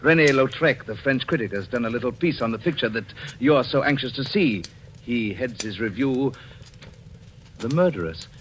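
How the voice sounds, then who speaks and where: A man's voice: medium pitch, lively intonation, moderate pace, a little hiss or hum, natural voice, low-quality recording. A man playing a cruel, controlling husband with a suave, sardonic, commanding manner in 1940s radio suspense style. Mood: taunting, commanding. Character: cruel, menacing, controlling husband, coldly mocking his wife. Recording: old radio broadcast with music behind.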